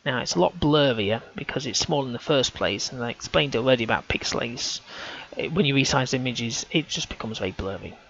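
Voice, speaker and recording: A man's voice: medium pitch, expressive, a little fast, few pauses, a bit bright, dull audio. A man talking through on-screen images, casual and hesitant, often restarting his sentences as he thinks aloud. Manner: hesitant, self-correcting, casual. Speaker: amateur researcher.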